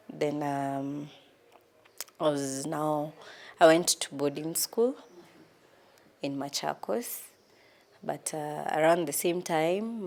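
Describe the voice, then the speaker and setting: Kenyan woman, 30s, interview guest, low and unhurried, pausing with ums. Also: a little mellow. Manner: hesitant, many ums, laughs at herself. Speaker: guest, lawyer. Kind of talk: casual interview answers.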